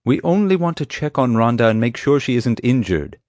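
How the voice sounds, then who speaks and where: A man's voice: medium pitch, animated, normal speed, no echo, voice pushed up, close to an everyday voice. A man voicing a wry, defiant male animal-security consultant with a sly streak in an audiobook. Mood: firm, annoyed. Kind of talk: audiobook character dialogue.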